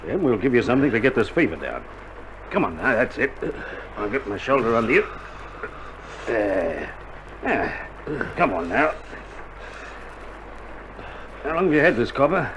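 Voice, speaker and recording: An actor playing a caring, no-nonsense Australian army medic in a radio drama, coaxing and grumbling, on an old vinyl recording. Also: male voice, medium pitch, sing-song, fairly quick, long pauses, fairly bright tone, a little hiss or hum, low-quality recording. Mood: coaxing, urgent. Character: caring, no-nonsense army medic soldier tending a sick mate.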